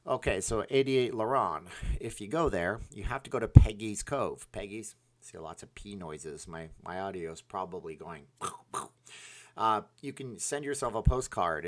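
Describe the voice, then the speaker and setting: A talkative man with a slightly low voice hosts a casual livestream, reading out chat messages. He rambles and restarts his sentences, piling on fillers as he answers viewers. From part Ukrainian, Polish, Irish, Scottish heritage.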